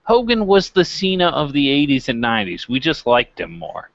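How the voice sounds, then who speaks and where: A man's voice: medium pitch, huge pitch swings, moderate pace, fairly bright tone, no room sound, lo-fi sound. A man debating casually as a review co-host, insistent and sweary, repeating his points.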